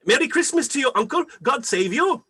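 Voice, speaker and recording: A man acts out a cheerful young nephew in a radio play. The voice is pitched high and leaps about, eager, earnest and full of exclamation. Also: big pitch swings, fairly quick, very noisy. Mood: cheery.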